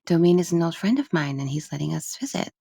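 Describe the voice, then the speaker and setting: A woman voicing a tender, reassuring mother who talks softly and soothingly, as an audiobook character. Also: low-pitched, lively intonation, fast talker, vocal fry, husky, no room sound, studio quality, exaggerated voice. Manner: soft, soothing. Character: tender, reassuring young mother of a small child.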